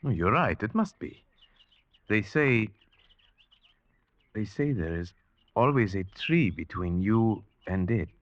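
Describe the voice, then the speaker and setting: A deep-voiced, brooding Slavic huntsman, old radio. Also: lively intonation, slow pace, pauses often, no room sound. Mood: tense. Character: brooding Slavic huntsman, grave and superstitious, narrating his tale. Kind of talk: 1940s radio drama acting and narration. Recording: muffled old-time radio recording.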